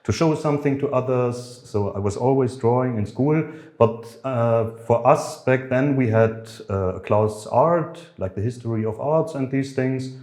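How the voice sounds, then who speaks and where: A middle-aged man, a podcast guest who talks in a halting way, full of fillers and restarts. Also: mid-range voice, a little mellow, roomy sound. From Germany. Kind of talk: conversational podcast interview.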